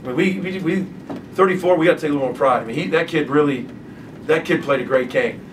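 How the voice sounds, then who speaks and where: A middle-aged man coaching basketball, answering postgame press questions in a halting way, repeating words and restarting often. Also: a little high. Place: press conference room. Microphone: desk microphone from a distance. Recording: distant mic, clear background noise.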